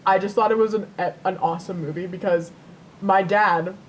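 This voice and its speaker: A young woman co-hosting a podcast, telling stories casually in a giggly, animated way with easy swearing. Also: normal speed, poor audio. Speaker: podcast co-host. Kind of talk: casual chat, storytelling. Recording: rumbly background noise.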